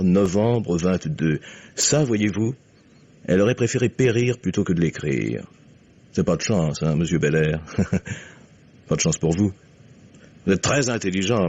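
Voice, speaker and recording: Shrewd, commanding older father, very low register, measured and ironic, old radio mystery style, muffled sound. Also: a little mellow, husky, full character voice. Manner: courteous, deliberate, suavely ironic. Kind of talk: 1950s French radio mystery acting. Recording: dull vintage radio recording.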